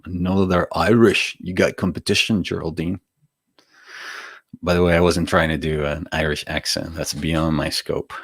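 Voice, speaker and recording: Low-voiced man with a Venezuelan accent. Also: flat delivery, moderate pace, husky, no room sound. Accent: Venezuelan Spanish. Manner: informal, gently encouraging, chatty asides to viewers. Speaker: meditation teacher hosting a live broadcast. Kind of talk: casual spiritual talk before a guided meditation.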